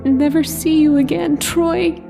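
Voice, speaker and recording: A woman's voice: high-pitched, fairly even intonation, a little slow, creaky, dark tone, faint music behind. A woman playing a dying lover giving a desperate warning in weak, broken phrases, in old-time radio horror style. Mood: desperate, fading.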